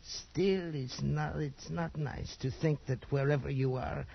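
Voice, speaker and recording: An actor playing a nervous, slyly dismissive Portuguese trader with a Portuguese accent, a husky gravelly voice and hesitant broken English. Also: male voice, a little high, steady tone, measured pace, a little hiss or hum. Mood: uneasy, insinuating. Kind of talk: 1940s radio acting. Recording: dull old radio recording.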